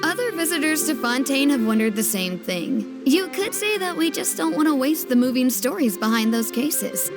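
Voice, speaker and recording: Townswoman, eloquent, theatrical, high voice, game music behind. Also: very animated, moderate pace, hardly any pauses. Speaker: local townsperson. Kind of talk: video game voice acting. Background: game score music.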